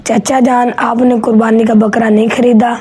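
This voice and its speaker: A woman's voice: fairly high pitch, little pitch movement, normal speed, darkish tone, slightly rough. A woman voicing a polite, eager young village boy in a cartoon story. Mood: polite, curious.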